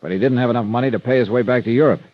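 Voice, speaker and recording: A man's voice: mid-range voice, racing, dry recording, close to an everyday voice. An American man playing a wry, hard-boiled freelance insurance investigator in clipped, confiding 1950s radio detective style. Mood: intent, explaining. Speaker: lead actor and narrator. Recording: muffled vintage radio recording with music.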